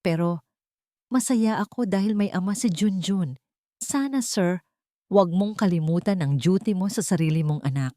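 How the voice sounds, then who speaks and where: Audio drama nanny, read by a woman: lowish voice, earnest pleading, hidden resolve, dry close recording. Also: moderate pace, warm tone, no room sound, natural voice. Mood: pleading, reproachful. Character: determined, pleading nanny secretly plotting revenge. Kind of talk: dramatic audio story dialogue.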